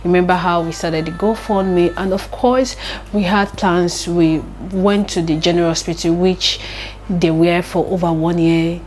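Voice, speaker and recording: A woman's voice: lowish voice, fairly even intonation, a little slow, a little mellow, slight background noise. An earnest, emotional Nigerian woman whose first language is Igbo tells a personal story in her vlog, often repeating phrases. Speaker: vlogger.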